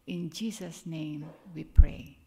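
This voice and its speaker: A woman's voice: deep voice, takes it slowly, dark tone, slight background noise. An older woman from the Philippines with a Filipino accent, leading a prayer and preaching a church sermon in an earnest, chatty way. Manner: earnest, chatty, laughs at herself. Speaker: guest speaker.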